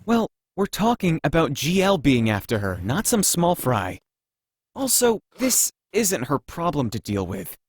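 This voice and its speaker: A man's voice: fairly high pitch, wildly swooping pitch, a little slow, breathy edge, no echo, heavily acted. An actor playing a guarded, sceptical male android in a visual novel, with a dry manner. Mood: worried, guarded.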